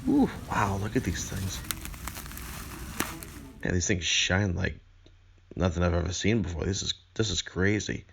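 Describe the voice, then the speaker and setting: A man's voice: deep voice, animated, a little slow, creaky, long pauses, background noise. A man presenting a video review in an earnest, wordy way, with rather formal phrasing. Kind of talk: scripted review narration with enthusiastic unboxing remarks.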